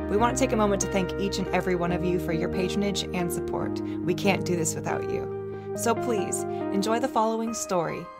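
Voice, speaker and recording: A woman hosting a podcast, giving a scripted, welcoming introduction to the show. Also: mid-range voice. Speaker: podcast host. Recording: loud guitar music underneath.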